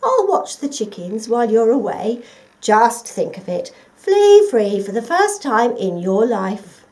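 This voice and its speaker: A woman's voice: a little high, big pitch swings, unhurried, a little mellow. A woman voicing a sly male fox in a storybook, coaxing and falsely innocent as he schemes. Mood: falsely kind.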